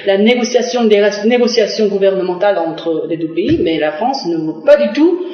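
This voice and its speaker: A middle-aged woman from Korea speaks, answering a TV interviewer as a diplomat. She pauses often, fills gaps with "euh" and restarts her phrases. The sound is roomy and dull, like an old lo-fi broadcast. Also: mid-range voice, lively intonation. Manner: hesitant, many fillers and restarts.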